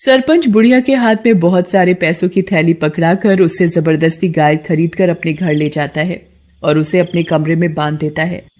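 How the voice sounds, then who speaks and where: A woman tells a scripted village folktale as narrator. Her voice sits a little low, in a storybook delivery. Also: steady tone, moderate pace, darkish tone.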